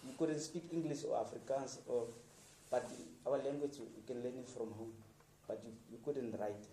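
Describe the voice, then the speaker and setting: A radio producer from Namibia talking informally to students, encouraging them with plain, repetitive sentences and restarts. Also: male voice, mid-range voice, fairly even intonation, normal speed, dark tone, echoey room, recorded from a distance, a little hiss or hum, low-quality recording.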